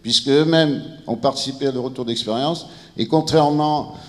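A man in his fifties or sixties gives a formal but halting presentation as an official, searching for words, on a handheld microphone. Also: mid-range voice. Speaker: civil protection director presenting at a conference. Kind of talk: formal public presentation, semi-improvised. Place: large indoor conference room with seated audience. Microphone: handheld microphone held near the mouth. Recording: reverberant hall sound over handheld microphone.

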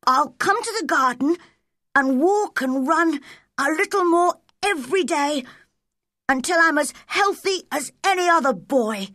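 An earnest young storybook boy, played by a woman narrator, speaks in a very high, swooping voice. He is excitable and hopeful, declaring things with wide-eyed fervour. The sound is close and dry. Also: measured pace, no echo. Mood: determined, eager.

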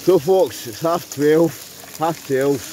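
A man's voice: fairly high pitch, slow pace, dark tone, slight background noise. A Scottish man chatting cheerily to viewers as he vlogs a night of campfire cooking outdoors. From Scotland. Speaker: vlogger. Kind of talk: casual camping vlog. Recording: outdoor campfire recording with music behind. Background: music playing, food sizzling.

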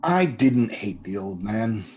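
A man's voice: fairly low pitch, sing-song, takes it slowly, a little mellow, a little hiss or hum. A man playing a nervous, obsessive murderer confessing in an old-time radio horror style, intense and lingering over words. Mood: agitated. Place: indoor home room, group video call. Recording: dull sound, music underneath.

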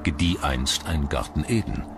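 A man's voice: rumbling low, moderate pace, noisy background. A man reading scripted documentary narration in standard German. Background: background music.

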